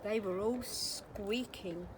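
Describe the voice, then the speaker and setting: Slow, expressive woman reading a storybook. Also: mid-range voice, background noise. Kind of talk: children's storybook read-aloud.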